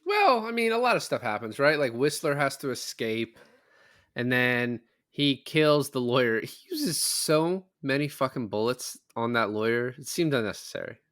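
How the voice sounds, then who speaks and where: Bright-voiced man in rambling casual chat. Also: medium pitch, lively intonation, normal speed. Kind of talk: casual movie discussion podcast chat.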